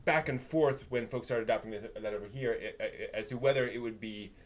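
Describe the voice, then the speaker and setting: A man's voice: medium pitch, brisk. A man talking casually as a live stream host, in a chatty, jokey way. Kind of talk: casual group discussion. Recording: clear background noise and ambience.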